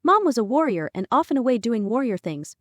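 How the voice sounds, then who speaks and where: A woman's voice: a little high, big pitch swings, fairly quick, dry recording. A woman narrating a fantasy audiobook from a script, acting out some dialogue within it.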